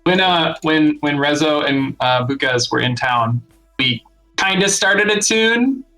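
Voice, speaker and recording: Male producer for casual talk, slightly high and sharply bright, informal delivery. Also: expressive, measured pace, faint music behind, low-quality recording. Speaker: music producer on a talk show. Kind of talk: casual chat.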